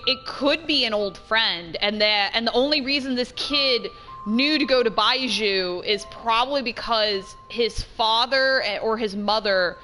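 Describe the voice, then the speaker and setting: A woman giving casual let's-play commentary, chatty and excitable, thinking out loud as she plays. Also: mid-range voice. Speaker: gaming streamer. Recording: game music behind. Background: game music.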